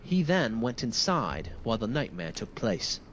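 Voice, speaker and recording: A man's voice: medium pitch, big pitch swings, normal speed, fairly bright tone, a little hiss or hum. An actor playing a grieving male soldier in a video game, speaking in a solemn, formal, deferential way. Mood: anguished, grim. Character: wounded, grieving male soldier recounting a massacre. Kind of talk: dramatic video game dialogue.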